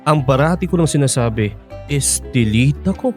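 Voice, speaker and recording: A man's voice: mid-range voice, expressive, moderate pace, a little mellow, faint music behind, close to an everyday voice. An actor playing a flirtatious, teasing man of sixty-five with a Filipino accent in a radio drama. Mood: teasing, boastful. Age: 60s. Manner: teasing, cajoling, exaggerated swooping delivery.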